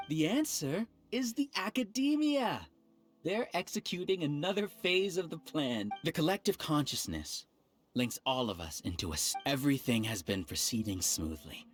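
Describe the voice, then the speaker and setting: An actor playing an arrogant, resentful researcher who leads a hive mind in a video game, with a grandiose, theatrical delivery. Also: male voice, a little high, very animated, moderate pace. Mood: smug, menacing. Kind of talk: dramatic video game dialogue. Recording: music behind the voice.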